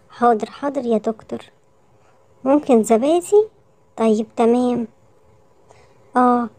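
A woman's voice: a little high, vocal fry, darkish tone. A woman voicing a caring, fretful mother lioness with an Egyptian accent in a children's cartoon story, admonishing and repeating words.

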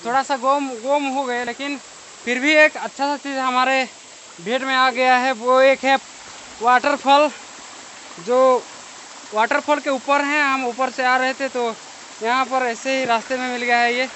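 A young man from Odisha, India, vlogging excitedly as he hikes through a forest to a waterfall, filming on a phone at arm's length. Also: very high-pitched. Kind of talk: casual vlog narration while hiking. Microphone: phone held at arm's length. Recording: noisy outdoor phone recording. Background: rushing water.

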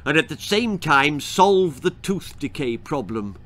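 A man voicing a judicious, sly male toad advisor, huffy and deliberate, in a children's story. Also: fairly high pitch, sing-song, a bit bright.

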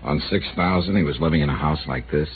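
A wry, dogged insurance investigator. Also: male voice, extremely low pitch, quick pace. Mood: dry. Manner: dry, wisecracking, persistent questioning. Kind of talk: 1950s radio drama acting. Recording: tinny old radio broadcast with hiss.

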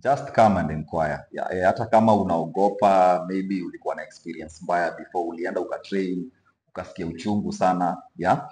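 A young Kenyan man hosting a casual gym interview, relaxed and chatty, peppering his talk with "yeah" and Swahili slang, recorded on a lapel mic in an indoor gym. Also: low-pitched, a little fast. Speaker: host. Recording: echoey gym room.

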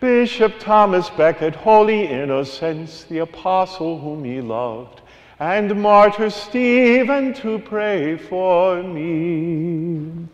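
A male priest giving a homily, singing a long list of saints and then explaining it in a relaxed, conversational way. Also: high voice, takes it slowly, big-room sound, muffled recording, soft background music. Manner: sings a long cumulative list, then talks plainly and conversationally. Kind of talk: homily with a sung carol.

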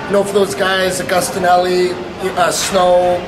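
Higher-voiced male coach in an echoey room. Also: monotone, a little slow, background noise. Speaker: hockey head coach. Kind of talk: post-game media interview. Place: indoors in a small media room before a sponsor backdrop.

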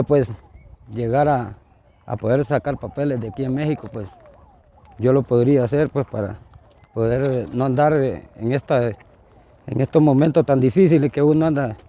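Very dark-voiced halting man. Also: mid-range voice. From Honduras. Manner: halting, repeats and restarts words. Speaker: migrant interviewee. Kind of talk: personal testimony. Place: outdoors beside railway tracks.

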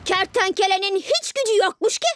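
A woman's voice: very high-pitched, fairly quick, very noisy. A woman voicing a boastful, bossy boy ninja villain in a dubbed children's cartoon, sneering and theatrical. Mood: taunting. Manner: taunting, haughty, theatrical. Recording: music behind the voice. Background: background music.

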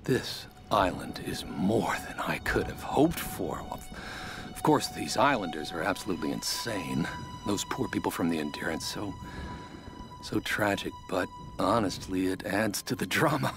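Vain, animated celebrity explorer. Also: male voice, low-pitched. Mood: gloating, excited. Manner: halting, self-important, theatrical. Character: vain, scheming, desperate male celebrity explorer. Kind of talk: dramatic game monologue. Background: music.

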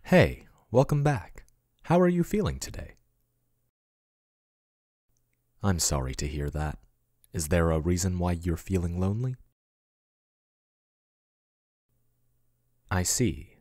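A man in an ASMR boyfriend roleplay, voicing a male AI chatbot who talks softly and intimately, growing possessive. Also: low voice, big pitch swings, moderate pace, no echo, clean studio sound. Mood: gentle calm. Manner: soft, intimate, doting, turning possessive. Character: male AI chatbot, soothing then possessive yandere.

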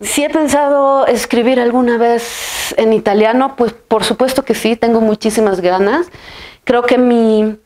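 A woman's voice: fairly high pitch, unhurried, a little mellow. Reflective woman filmmaker in an interview. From Bolivia. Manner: thoughtful, many restarts and fillers, ends phrases with "¿no?". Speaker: filmmaker and writer. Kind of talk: interview answers to off-camera questions. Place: bright room with a plain wall, seated in an armchair.

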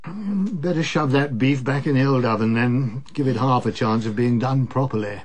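An actor playing a mild, grumbling older husband in a radio drama, hesitant and affable, with an English accent. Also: male voice, medium pitch, moderate pace, darkish tone, lightly acted.

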